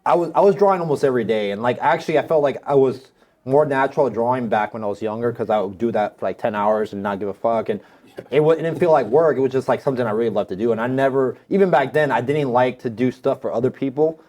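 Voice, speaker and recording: Man, thirties, rapid speech, mid-pitched voice, informal slangy storytelling, podcast guest. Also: darkish tone. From United States. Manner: informal, slangy, with frequent swearing. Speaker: tattoo artist, podcast guest. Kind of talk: casual conversational storytelling.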